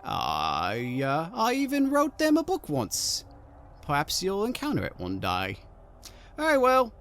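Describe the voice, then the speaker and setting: A man voicing a cruel cannibal hag disguised as a half-elf woman, in a mocking falsetto singsong. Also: huge pitch swings, measured pace, fairly bright tone, faint music behind, full character voice.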